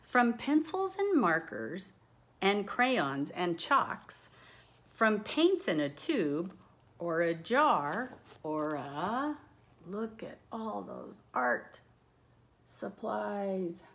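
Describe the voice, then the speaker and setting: A woman reading a picture book aloud with expressive, dramatic emphasis, lingering on words and adding casual asides. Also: mid-range voice, very slow. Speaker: read-aloud storyteller.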